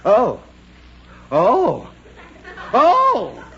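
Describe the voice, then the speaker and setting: A man's voice: high-pitched, wildly swooping pitch, extremely slow, creaky, pushed and bright, full character voice. A man playing a flustered, blustering middle-aged father in a 1940s radio comedy, stammering and exclaiming. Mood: flustered protesting. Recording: muffled, noisy 1940s radio recording.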